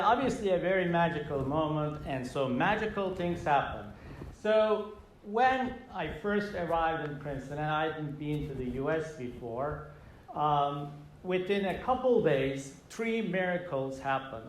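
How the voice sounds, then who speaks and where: A middle-aged man from Turkey with a Turkish accent, telling a personal story on stage, halting with many fillers and restarts. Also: a little high, reverberant, a little hiss or hum, rough recording. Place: stage in a hall with a live audience.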